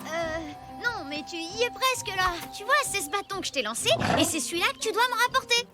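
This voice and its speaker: A woman's voice: very high-pitched, animated, quick pace. A woman playing a spirited, loyal, kind-hearted young boy in a French cartoon dub, earnest and exclamatory. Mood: playful. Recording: music bed under the dialogue.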